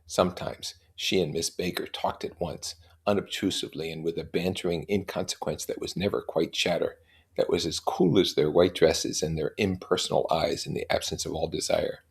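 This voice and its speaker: Deep, husky man reading aloud. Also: fairly even intonation, normal speed. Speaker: reader and commentator. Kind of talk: reading a novel aloud with informal comments.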